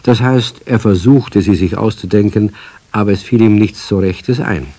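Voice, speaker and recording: A man as the narrator of a children's radio play, telling the story in a scripted style with a husky, dark voice. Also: on the low side, normal speed, no echo. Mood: wry storytelling.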